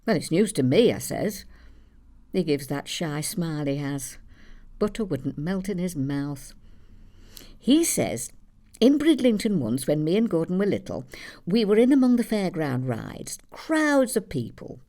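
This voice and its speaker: Woman acting elderly Yorkshire mother, plain-spoken, easily affronted, swooping expressive pitch, matter-of-fact storytelling, theatre monologue. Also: a little slow. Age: older. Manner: dry, matter-of-fact, gossipy. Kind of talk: conversational storytelling stage monologue.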